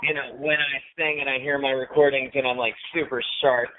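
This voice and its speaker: A man's voice: medium pitch, level tone, fairly quick, background noise. A man in his thirties hosting a casual podcast, laughing often, self-deprecating and swearing freely. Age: 30s. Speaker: podcast host. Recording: dry, tinny phone-like sound.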